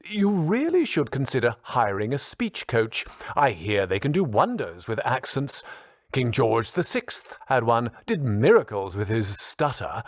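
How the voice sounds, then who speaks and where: A man's voice: mid-range voice, no echo, clean studio sound. Man putting on a drawling, pompous posh British schoolmaster. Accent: affected posh British. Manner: drawling, condescending, chortling. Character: pompous portly male English teacher affecting posh British speech.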